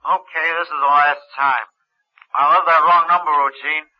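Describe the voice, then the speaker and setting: An American actor playing a cocky, scornful lover and accomplice in vintage radio drama, wisecracking and bossy. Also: male voice, fairly high pitch, steady tone, normal speed, natural voice. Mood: smug, impatient. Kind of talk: 1940s-50s American radio drama acting. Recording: muffled vintage radio broadcast.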